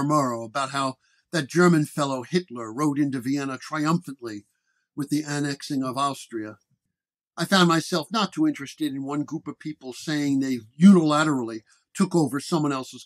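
A man reading a scripted first-person detective story as its narrator. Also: a little high. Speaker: narrator and reader.

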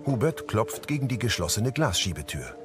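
A man's voice: lowish voice, very animated, normal speed, over music. A man reading scripted audio description of scenes, neutral and matter-of-fact. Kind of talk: scripted present-tense scene narration.

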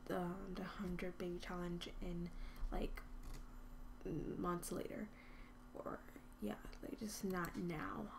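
A woman's voice: medium pitch, darkish tone, slight background noise. A woman hosting a life-sim game video, chatting casually with lots of ums and dipping into whispers. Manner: chatty, rambling with many ums, often drops to a whisper. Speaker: gaming channel host. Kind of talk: casual let's-play commentary.

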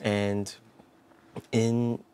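A man's voice: lowish voice, little pitch movement, very slow, a little mellow, clean tone. A man in his thirties from South Korea, a priest telling his life story in a studio interview, earnest and full of restarts and "you know" fillers, on a lapel mic. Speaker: priest guest.

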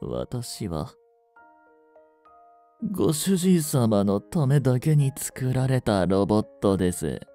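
A man performs a devoted male android servant in a romantic audio drama. He speaks slowly and formally, with a soft mellow tone and breathy, halting phrasing, as one overcome by feverish love. The sound is dry, with faint music behind him. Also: medium pitch, very animated. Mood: devoted, teasing.

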